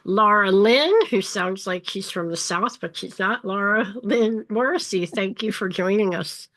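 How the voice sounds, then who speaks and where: A woman's voice: medium pitch, unhurried, a bit bright. Friendly woman hosting a podcast. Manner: friendly, faith-centered chat. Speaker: podcast host. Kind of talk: podcast interview.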